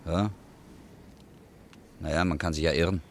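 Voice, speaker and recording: A man's voice: low voice, steady tone, unhurried, a little hiss or hum. An actor playing a tense, suspicious male stranger in a German radio play, curt and prickly.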